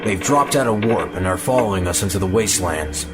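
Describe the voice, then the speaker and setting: A man's voice: low-pitched, animated, a little fast, over music. A man playing an alert, dutiful starship tactical officer in a sci-fi audio drama, giving clipped status reports.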